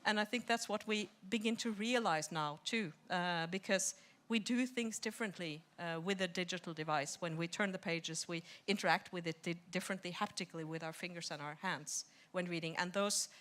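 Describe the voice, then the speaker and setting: Halting woman researcher on a panel. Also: fairly low pitch, fairly bright tone. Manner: halting, repeats words while reasoning aloud. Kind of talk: spontaneous expert panel discussion.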